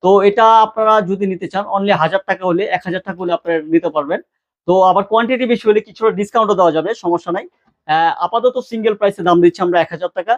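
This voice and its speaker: A man's voice: sits high, racing, no room sound, highs cut off. A Bangladeshi man presenting products informally like a shop seller, repeating key words and prices.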